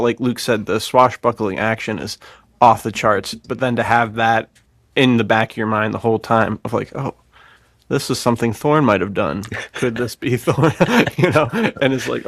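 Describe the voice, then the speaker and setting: A man hosting a podcast in a casual, excitable chat full of laid-back bro slang. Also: mid-range voice, normal speed, vocal fry, no echo, clean studio sound. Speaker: podcast host.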